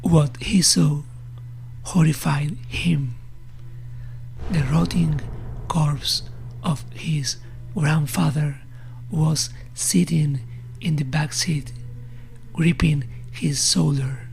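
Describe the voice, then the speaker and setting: Female horror narrator, low pitch, extremely slow pacing, hushed suspenseful delivery, gravelly creak, scripted storytelling. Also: steady tone, slight background noise, low-quality recording.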